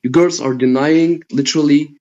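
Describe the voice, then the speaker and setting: A man's voice: a little high, steady tone, normal speed, darkish tone. Man, caller, flustered, insistent, slightly dull audio. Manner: flustered, insistent, keeps trying to finish.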